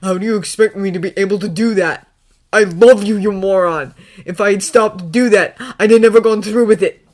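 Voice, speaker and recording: A woman's voice: low-pitched, steady tone, normal speed, darkish tone. Woman playing an earnest, pleading young man. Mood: desperate pleading. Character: distraught, determined young man, loving and pleading. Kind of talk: dramatic audiobook character acting.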